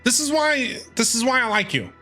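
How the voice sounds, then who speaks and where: A woman's voice: a little high, expressive, moderate pace, pushed and bright. A woman streaming a game with casual, playful, chatty reaction commentary, often repeating phrases. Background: game music.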